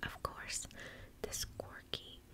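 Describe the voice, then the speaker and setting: A woman whispers softly and very slowly, as if for an ASMR storytime. Her delivery is gentle, careful and unhurried, kept close to the microphone. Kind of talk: ASMR storytime, whispered reading of a spooky tale.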